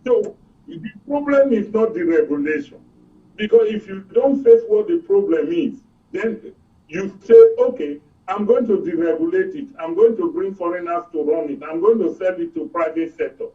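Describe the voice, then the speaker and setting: A man's voice: expressive, normal speed. A man with a Nigerian accent giving explanatory commentary as an expert guest, in short, emphatic statements. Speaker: oil and gas expert guest. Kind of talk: explanatory political and economic commentary. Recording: distant, dull low-fidelity sound.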